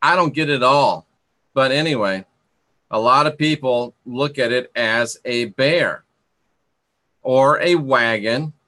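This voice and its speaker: A man with a bright, forceful voice at a middling pitch, hosting an informal live talk. He thinks out loud in a conversational, opinionated way. He tends to ramble and circles back to repeat his points for emphasis. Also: slow, pauses often. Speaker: host of an esoteric talk livestream. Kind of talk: informal live commentary, reading aloud and musing to viewers.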